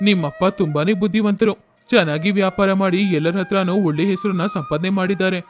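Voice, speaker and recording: A level-headed young friend from a cartoon, played by a man, speaking in a persuasive way. His voice sits high for a man. Gentle music plays behind him. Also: fast talker, lightly acted. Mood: advising. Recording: faint background music.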